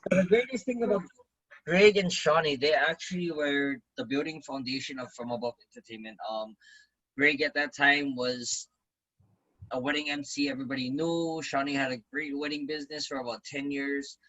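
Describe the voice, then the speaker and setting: Guy in his 40s telling his story, bright husky voice, gets loud when he quotes people. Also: fairly high pitch, normal speed, highs cut off. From Hawaii. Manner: animated, acts out quoted lines in a raised voice. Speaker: business owner, event emcee. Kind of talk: casual interview storytelling.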